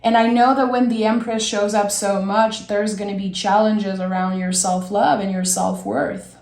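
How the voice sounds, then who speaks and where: A young woman with a raspy voice from being sick, giving a gentle, reflective tarot reading and thinking aloud as she draws cards. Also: medium pitch, flat delivery, moderate pace, echoey room. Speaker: tarot reader. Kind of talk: soft, slow tarot reading.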